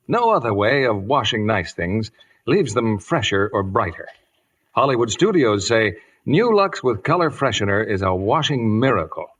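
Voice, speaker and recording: Casting: male American announcer, mid-pitched with lively swings, polished and emphatic vintage sales read. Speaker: radio announcer. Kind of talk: 1950s radio commercial announcing.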